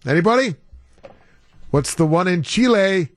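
A man with an American accent bantering as a radio host. His voice sits a bit high and moves around a lot in pitch, with a close, dry studio sound. He is playful and wry in delivery. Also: big pitch swings, normal speed, no echo.

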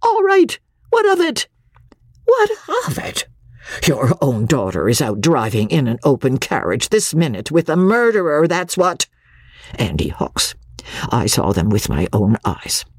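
A woman voicing a frantic middle-aged mother with a high, quavering voice. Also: big pitch swings, unhurried, a little mellow, breathy edge, no echo, studio quality, heavily acted. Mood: shrill.

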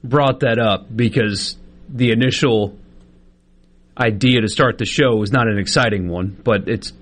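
Measured radio co-host man. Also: on the low side, fairly bright tone. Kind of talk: casual talk radio chat.

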